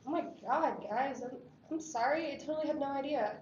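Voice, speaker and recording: A woman playing a rebellious seventeen-year-old high school girl in a drama table read. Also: brisk, darkish tone, a little hiss or hum, lightly acted. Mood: shocked, apologetic. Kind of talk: screenplay table read, film drama dialogue. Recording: echoey, distant, dull room recording.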